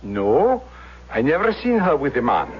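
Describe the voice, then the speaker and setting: An actor playing an older immigrant restaurant man in a radio drama, excitable and baffled, speaking broken English with emphatic questions. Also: fairly high pitch, unhurried, heavy background noise. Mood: baffled, sorrowful. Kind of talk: 1970s radio drama acting. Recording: muffled vintage radio recording, loud music behind.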